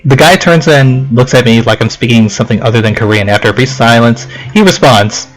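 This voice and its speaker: A man's voice: medium pitch, brisk, soft background music, low-quality recording. A young man giving casual, rambling let's play commentary, chatting and reading game text aloud.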